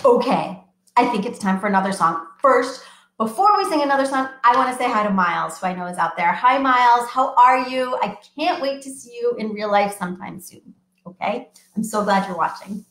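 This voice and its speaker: A children's librarian, a woman, reading picture books aloud and coaxing young children to join in with an animated, sing-song manner. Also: fairly high pitch, a little fast, echoey room.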